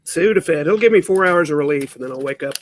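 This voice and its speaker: A congested man with a high, nasal speaking voice hosting a casual stream chat and often agreeing with short yeahs. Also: animated, slight background noise. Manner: agreeable, many quick yeahs. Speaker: stream host.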